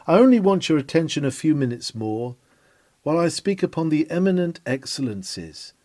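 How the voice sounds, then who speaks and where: A man's voice: on the high side, a little mellow, no room sound. A man reading an old sermon aloud in a solemn, declamatory preaching style. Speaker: reader of historic sermons.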